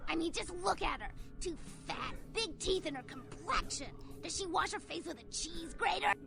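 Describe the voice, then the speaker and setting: An actress playing a vain, bitter former model turned vampire in a video game, snide and scornful, with a creaky voice. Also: female voice, extremely high pitch, lively intonation, moderate pace, heavily acted. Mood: jealous, scornful. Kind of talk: video game character dialogue. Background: music.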